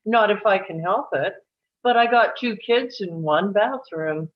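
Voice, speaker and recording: Actress as dry-humored ward nurse, table read: unhurried, animated pitch, slightly muffled recording. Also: female voice, lightly acted. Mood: wry, matter-of-fact. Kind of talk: live script table read.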